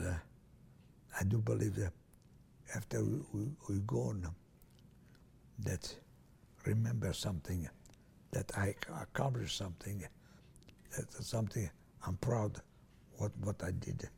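A man's voice: on the low side, warm tone, a little hiss or hum. An older man from Transylvania, a native Hungarian speaker, recalling his life in an oral history interview, with many restarts. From Transylvania, Romania. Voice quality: gravelly, husky, hesitant with many restarts.

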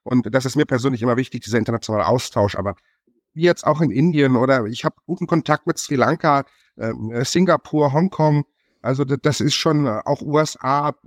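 A man's voice: medium pitch, fairly quick, no echo. Upbeat man with a dry close sound. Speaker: chef association representative. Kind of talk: podcast conversation.

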